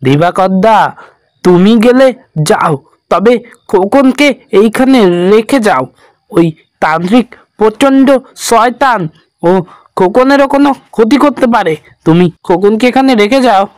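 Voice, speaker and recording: একজন পুরুষের কণ্ঠে আতঙ্কিত তরুণ গ্রামবাসী. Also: male voice, sits high, animated, unhurried, no room sound. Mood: alarmed. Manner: urgent, pleading. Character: worried young village man warning neighbours.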